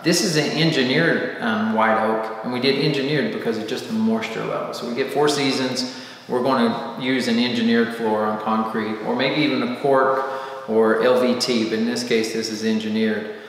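A man's voice: medium pitch, fairly even intonation, normal speed. A man in his forties talks through construction details while standing in a bare, unfurnished room. He keeps it casual and hands-on, with fillers and the odd restart. His voice bounces around the empty space with a long, roomy echo. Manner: gestures a lot, explains with fillers and restarts. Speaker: home builder. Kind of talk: casual walkthrough explanation. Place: large empty basement room, indoors.

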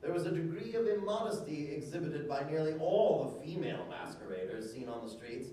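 A man's voice: on the high side, lively intonation, fairly quick, dark tone, a little hiss or hum. A middle-aged man reading old newspaper articles aloud to an audience in a declamatory, mock-formal manner. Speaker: reader at a public event. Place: hard-walled room with small stage. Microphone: stand mic, recorded by far camera. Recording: very echoey room from far off.

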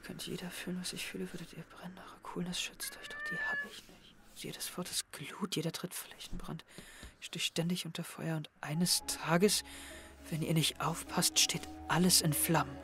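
A man's voice: high voice, very animated, normal speed, music in the background. A young man playing an anxious teenage schoolboy detective in an audio drama, intense and confiding. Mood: brooding, hushed. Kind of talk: German audio drama acting, close narration.

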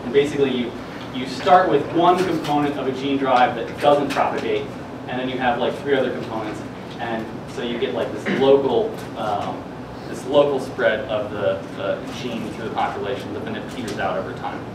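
A young man giving an informal science news presentation in a hesitant, halting way full of filler words. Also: steady tone. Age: young adult. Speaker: presenter at a student science talk. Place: classroom or seminar room, indoors. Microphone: distant camera microphone. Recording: echoey room, distant mic, air-conditioning rumble.

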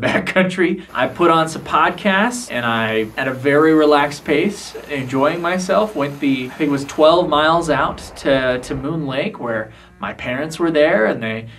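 A man's voice: on the high side, animated, moderate pace, few pauses, fairly bright tone, far from the mic. A man who hikes, casually recounting his trip in a loose, conversational way. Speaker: hiker. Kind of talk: casual recollection to camera.

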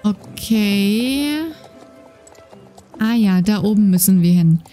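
Give me a woman gaming on a desk mic, warm and chatty, with a slight lisp. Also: mid-range voice, takes it slowly. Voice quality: slight lisp, soft trailing S sounds. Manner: chatty, rambling, many fillers. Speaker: gaming YouTuber. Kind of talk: casual let's-play commentary. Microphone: desk microphone with noise gate. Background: game music and horse hoofbeats.

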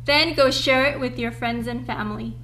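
A young woman presenting and reading for young children in an upbeat, clearly enunciated way, as a storytime host. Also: on the high side, steady tone, moderate pace, bright, forceful tone, a little hiss or hum, low-quality recording. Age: young adult. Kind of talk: children's read-aloud and presenting.

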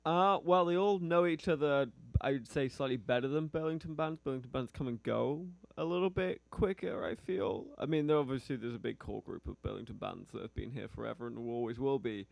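A male radio host with a foreign accent, interviewing a musician in a relaxed, hesitant way. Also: medium pitch, fairly quick, creaky, hardly any pauses, no echo. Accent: foreign, non-local. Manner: relaxed, hesitant, many fillers. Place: small radio studio. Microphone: studio mic on a stand.

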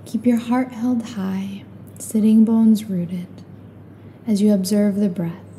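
A woman leads a guided meditation with a very mellow, relaxed voice. She speaks slowly and evenly, with little rise or fall in pitch. Her delivery is calm and soothing, with long pauses between gentle instructions. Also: slight background noise.

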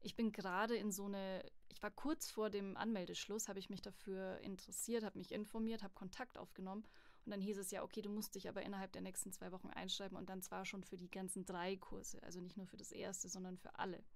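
A woman's voice: mid-range voice, fairly quick. A young woman talks in an easy, candid way, telling her story with plenty of life. She has a mid-range voice for a woman. The sound is dry and close, with no room echo, as in a small podcast studio. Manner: chatty, candid, lively storytelling. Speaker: voice acting student, guest. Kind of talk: casual podcast interview. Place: studio at a music academy.

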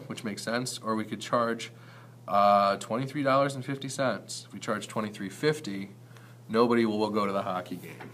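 Low-voiced man teaching math. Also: a bit bright, a little hiss or hum. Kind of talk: explanatory screencast lesson, informal.

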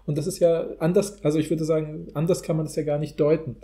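Male podcast co-host, somewhat higher pitch, warm dark timbre, casual analytical talk. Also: flat delivery, fast.

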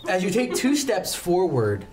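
A young man runs a tabletop roleplaying game. He narrates in a descriptive, halting way as he improvises, with faint noise behind him. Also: high-pitched, huge pitch swings, a little mellow. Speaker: game master. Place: indoor studio set.

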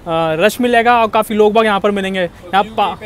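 A man's voice: high voice, brisk. A man, presenting a casual vlog to camera on an open rooftop. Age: 20s. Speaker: vlogger. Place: open rooftop cafe above a busy street. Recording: clearly noisy outdoor recording, street traffic.

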